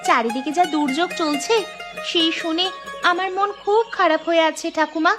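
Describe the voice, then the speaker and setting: A woman's voice: very high voice, normal speed, over music. A woman voicing a poor, sad and frightened young village girl in a cartoon, with a plaintive manner. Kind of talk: dubbed cartoon dialogue.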